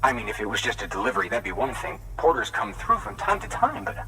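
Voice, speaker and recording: An actor playing a weary, excitable way station keeper in a video game cutscene, rambling and emotional in colloquial speech. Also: male voice, mid-range voice, quick pace, gravelly, recorded from a distance, noisy background. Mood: anxious questioning.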